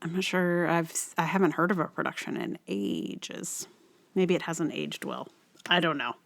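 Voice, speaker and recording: A woman's voice: low voice, normal speed, gravelly. A woman hosting a solo podcast in a casual, rambling way, often thinking aloud in unfinished phrases. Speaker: podcast host.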